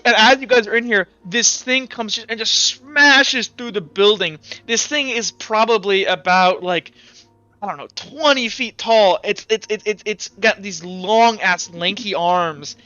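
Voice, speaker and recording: A man working as game master, improvising scene narration in a halting way and repeating words as he describes. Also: high-pitched, bright, forceful tone. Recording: dull audio, faint background music.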